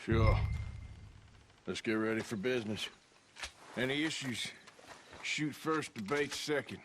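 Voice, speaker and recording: An actor playing a tough, callous outlaw in a game, curt and commanding. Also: male voice, medium pitch, unhurried, background noise, close to an everyday voice. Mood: curt, wary. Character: tough, brusque, callous male outlaw gunman. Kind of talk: naturalistic video game dialogue.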